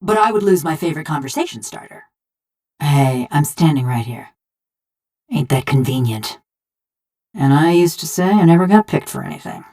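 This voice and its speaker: Sardonic folksy brass killer construct, low-pitched. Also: female voice, animated, moderate pace, pauses often, dark tone. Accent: American. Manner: folksy, drawling, wry. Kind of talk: video game character voice lines.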